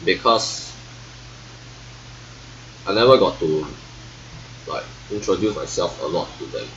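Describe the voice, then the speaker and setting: A young man in his late teens with a Singaporean accent, rambling casually to his vlog with halting, hesitant pauses. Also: low-pitched, expressive, a bit bright. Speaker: vlogger reviewing school life. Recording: dull, lo-fi sound with faint noise.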